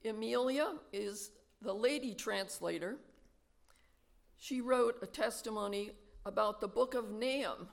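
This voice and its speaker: Slow, gravelly-voiced woman presenter. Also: on the high side. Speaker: mission presenter. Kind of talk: reading mission testimonies aloud.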